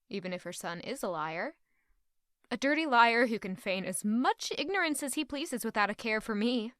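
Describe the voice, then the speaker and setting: A woman's voice: mid-range voice, a bit bright, no echo, studio quality, natural voice. An actress playing an anguished, proud young court lady in an audio drama, brooding intimately in a dramatic first-person monologue. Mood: bitter. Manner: intimate, brooding, emotionally intense.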